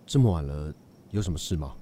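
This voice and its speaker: A man playing a calm, protective lover in a radio drama, gentle and composed but quietly guarded. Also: extremely low pitch, fairly quick, heavy background noise. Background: loud music.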